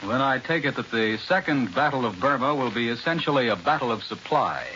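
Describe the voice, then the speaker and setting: A man's voice: mid-range voice, expressive, a little fast, pushed and bright, lo-fi sound. 1940s American radio newsman, hissy old recording. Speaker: news anchor and interviewer. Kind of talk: 1940s radio news delivery, scripted. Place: New York radio studio.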